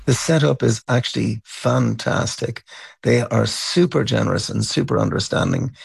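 A middle-aged or older Irish man telling stories as a musician being interviewed, reflective and somewhat halting. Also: mid-range voice, gravelly, husky, dry recording. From County Cavan, Ireland. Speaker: interview guest, band musician. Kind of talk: conversational storytelling interview.

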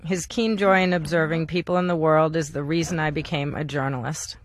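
A woman journalist narrating a personal documentary in a quiet, measured, gentle way. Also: low-pitched, fairly even intonation, no room sound. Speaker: journalist narrating a documentary about her father.

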